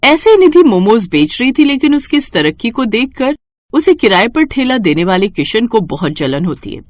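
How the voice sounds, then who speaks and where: A woman narrating a scripted moral story for a cartoon in an expressive storytelling way. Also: on the high side, moderate pace.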